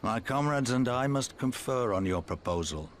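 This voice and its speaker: An actor playing a blunt older resistance commander in a video game, weary and proud, with measured, folksy speech. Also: male voice, medium pitch, animated, slight background noise, close to an everyday voice. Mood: wry, skeptical. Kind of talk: video game cutscene acting.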